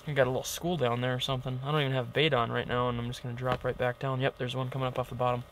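A young man talking casually as he fishes from a small ice tent, relaxed and chatty. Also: mid-range voice, racing, background noise. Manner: relaxed, chatty, gets excited when fish bite. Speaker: outdoor vlogger. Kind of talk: casual vlog narration. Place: small ice fishing tent on a frozen lake. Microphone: camera mic, close.

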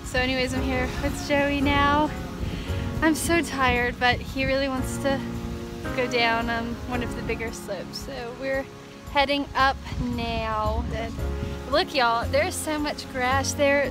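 A young American woman in her twenties chatting casually and animatedly to camera as a vlogger. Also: high voice. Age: 20s. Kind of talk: casual vlog chat to camera. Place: various: car interior, home, outdoor ski slope at night. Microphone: handheld camera at arm's length.

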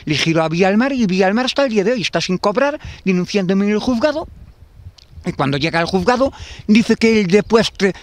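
Galician guy in his 50s, rambling with restarts, outdoors into a handheld mic, quick talker. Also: sits high, expressive. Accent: Galician Spanish. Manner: rambling, repetitive, many restarts. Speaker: tenant facing eviction. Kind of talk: street interview. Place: outdoors in a garden. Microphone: handheld interviewer mic.

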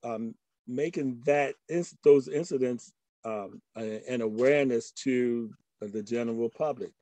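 An older man giving an informal presentation in a halting way over a video call. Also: mid-range voice, darkish tone, no room sound. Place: indoor room at home, video call. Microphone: computer microphone on a video call.

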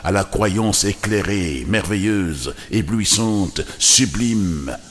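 Male poetry reciter, Senegalese accent, very low voice, solemn and declamatory, unhurried devotional delivery. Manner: declamatory, reverent, measured short phrases.

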